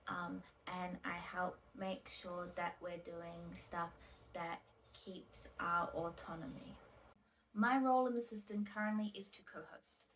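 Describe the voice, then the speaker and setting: A woman in her twenties giving plain, measured self-introductions in an interview-style video, often trailing off softly at the ends of phrases. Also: fairly low pitch, steady tone, slight background noise, poor audio. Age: 20s. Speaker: video creator.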